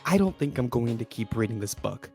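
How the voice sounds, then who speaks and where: A man's voice: medium pitch, very animated, a little fast, vocal fry, dark tone, soft background music. A man reading a book review aloud.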